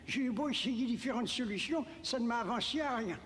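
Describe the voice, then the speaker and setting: Gentle old father in echoing reverb. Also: extremely high pitch, brisk, slight background noise. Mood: discouraged. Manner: tender, exclamatory, fatherly. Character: gentle, loving old father of a puppet boy. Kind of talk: animated film dubbing. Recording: long cavernous reverb, faint music.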